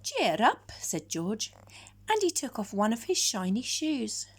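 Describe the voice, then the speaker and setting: A woman storyteller narrating a children's picture book in a measured, expressive way. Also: a little high, husky.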